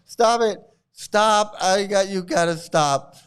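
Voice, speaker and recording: Reaction host, male, somewhat high and smooth voice, laughs a lot and circles back on his own words. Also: slow. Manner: laughs often, repeats phrases, stammering restarts. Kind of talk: casual reaction commentary.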